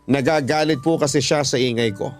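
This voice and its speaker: A man's voice: mid-range voice, a little fast. Filipino male radio storyteller over music. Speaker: radio host and story narrator. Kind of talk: dramatic first-person story narration of a listener's letter. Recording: music bed behind the voice.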